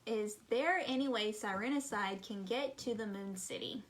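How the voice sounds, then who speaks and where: A woman's voice: mid-range voice, normal speed. A woman chatting casually as co-host and reading out listener questions. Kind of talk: casual chat.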